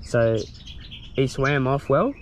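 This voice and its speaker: A young Australian man excitedly narrating while wading and fishing in mangrove shallows outdoors, laughing often and talking in loose slang. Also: medium pitch, takes it slowly, a little hiss or hum. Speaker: fishing vlogger. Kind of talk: casual on-the-spot narration.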